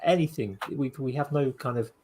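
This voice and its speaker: A man's voice: mid-range voice, brisk, a little mellow, a little hiss or hum. A man from Wales co-hosting a podcast, talking loosely and hedging with filler phrases. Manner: loose, hedging with "kind of" and "sort of". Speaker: podcast co-host. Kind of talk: casual chat.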